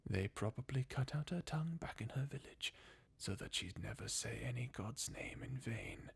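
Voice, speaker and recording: A performer voicing a jaded, sardonic undead warrior from a fantasy video game, in a breathy, husky half-whisper with a weary, mocking manner. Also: mid-range voice, animated, moderate pace, full character voice. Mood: bleakly mocking. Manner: sardonic, weary, chuckling bleakly. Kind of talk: fan redub of video game dialogue, ASMR-like.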